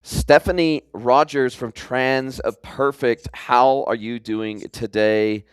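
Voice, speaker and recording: A man's voice: medium pitch, fairly even intonation, slow pace, clear, resonant tone, no echo, faint music behind. A man with a mixed American and New Zealand accent, hosting a podcast interview in an effusive, talkative, conversational way. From California, United States, later long in New Zealand. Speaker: podcast host.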